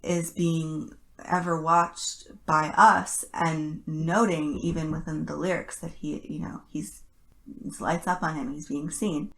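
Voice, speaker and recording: A woman hosting a podcast, chatting analytically in a casual, thoughtful way with halting restarts. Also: sits low, steady tone, fairly bright tone, rough recording. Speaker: podcast host.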